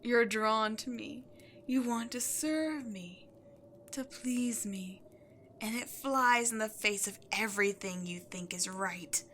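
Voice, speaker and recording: Woman playing a high, bright, imperious demon queen. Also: slow pace, a little hiss or hum. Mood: knowing, seductive. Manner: dramatic, swinging between outbursts and breathy near-whispers. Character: imperious demon queen, exasperated, scheming, seductive villainess. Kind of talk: scripted audio roleplay, theatrical monologue to the listener.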